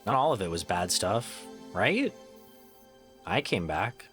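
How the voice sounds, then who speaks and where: A man's voice: on the low side, sing-song, slow, a bit bright, faint music behind, full character voice. A man playing a laid-back, joking reformed troublemaker in an audio drama, with a casual, wry, reassuring manner. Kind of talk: audio drama character acting.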